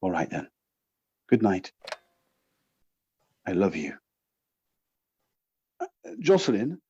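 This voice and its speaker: On a dull, lo-fi recording, a husky male voice from an actor plays a caring partner in an audio drama. His pitch moves expressively as he speaks with tender concern. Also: huge pitch swings, slow, mellow, exaggerated voice. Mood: concerned, affectionate. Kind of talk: naturalistic audio drama acting. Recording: dull lo-fi personal-device recording.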